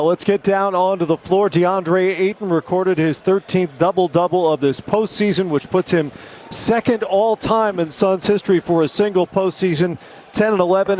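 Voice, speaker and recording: A man's voice: sits high, a little mellow, a little hiss or hum. A man hosting a sports broadcast, introducing a guest and throwing to clips with practiced ease. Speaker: studio host.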